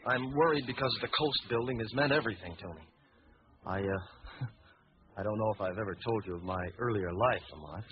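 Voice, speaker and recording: An actor playing an earnest, well-mannered architect in an old-time radio drama, with dull, noisy sound. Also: male voice, fairly low pitch, brisk, close to an everyday voice. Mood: worried. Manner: earnest, polite.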